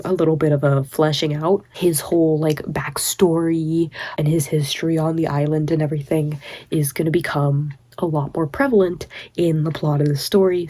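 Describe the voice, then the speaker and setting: A young woman with a husky, slightly low voice, worn out from a cold and a late night. She talks in a loose, rambling way, full of little fillers and self-deprecating asides, with a dark, mellow tone throughout. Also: dry recording. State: tired, recovering from a cold. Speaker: writing vlogger. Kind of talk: casual late-night vlog chat.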